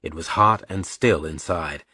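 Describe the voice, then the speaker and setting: A man's voice: low voice, unhurried, bright, forceful tone, breathy edge, dry recording. A man narrating a thriller audiobook in a scripted, dramatic storytelling style.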